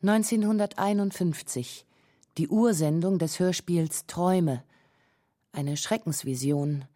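A woman's voice: lowish voice, takes it slowly, warm tone, no room sound, clean studio sound. A woman reading scripted radio documentary narration in a terse, pointed way. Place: dry studio.

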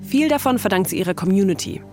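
A woman's voice: medium pitch, expressive, fast talker, a little mellow, faint music behind. A woman co-hosting a documentary podcast, reading scripted narration.

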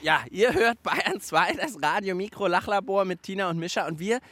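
A man hosting a children's radio show, with a high and very lively voice that jumps around a lot. He chats playfully while tinkering outdoors among autumn leaves, and the recording sounds dry, without any reverb. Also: normal speed. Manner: playful, animated, explains what he is doing.

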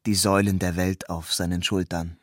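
A man reciting literary prose in a measured, solemn manner. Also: low-pitched, little pitch movement, no echo, clean studio sound.

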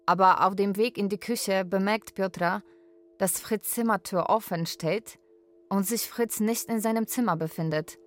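A woman's voice: mid-range voice, normal speed, natural voice. A woman narrating a scripted radio drama in terse, short sentences. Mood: terse, irritated. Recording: faint music behind the voice.

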